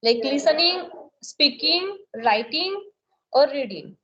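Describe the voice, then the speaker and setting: Indian woman tutor, Indian English accent, high voice, slow careful questions, muffled video-call audio. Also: animated, bright, forceful tone, a little hiss or hum. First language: Marathi. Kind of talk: conversation practice session.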